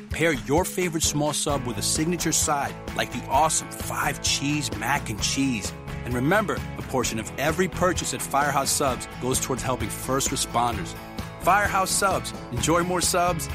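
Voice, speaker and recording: A firefighter reading a scripted radio commercial in a plain, earnest way. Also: male voice, medium pitch, expressive, moderate pace, music in the background.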